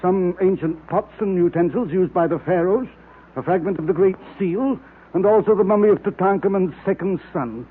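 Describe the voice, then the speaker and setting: A frightened, secretive Egyptologist from an old radio thriller. His voice sits high and he sounds agitated and pleading. The recording is tinny and narrow, with a roughened tone. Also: normal speed. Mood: evasive, defensive. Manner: agitated, pleading, repeating himself. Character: frightened, secretive, petulant Egyptologist fearing a curse. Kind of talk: old-time radio drama acting.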